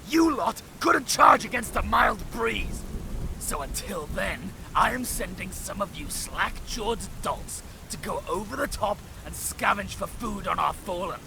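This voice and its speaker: An actor playing an arrogant, short-tempered veteran knight captain, barking scornfully and sarcastically at soldiers in a fantasy audio drama. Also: male voice, high-pitched, vocal fry, background noise, heavily acted.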